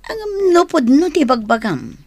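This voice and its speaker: A nosy older sister, lively voice. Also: female voice, high voice, unhurried, no room sound. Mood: prying. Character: older sister Manang Sally, nosy and prying.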